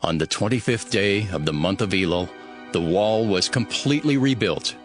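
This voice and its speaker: An actor as a low-voiced, earnest ancient governor. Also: male voice, animated, moderate pace, soft background music, natural voice. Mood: earnest, measured. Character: steadfast, devout male governor of ancient Jerusalem telling his story. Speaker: first-person narrator. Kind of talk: dramatized scripted narration.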